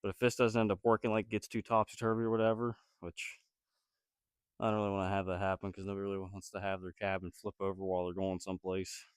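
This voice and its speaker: A man with a slightly low, nasal voice from a cold, thinking aloud as he builds something outdoors. He rambles and hesitates and stops to find his words while chatting to his viewers. The sound is dry, with no room echo. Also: flat delivery, fast talker, smooth. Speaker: DIY builder vlogger. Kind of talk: casual self-talk while building.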